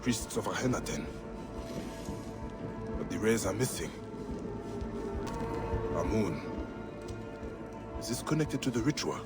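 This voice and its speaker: An actor playing a grave, determined ancient Egyptian warrior in a video game, terse and probing. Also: male voice, fairly low pitch, lively intonation, takes it slowly, pauses often, over loud music. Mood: musing, curious. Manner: terse, probing questions and musing. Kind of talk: video game cutscene acting.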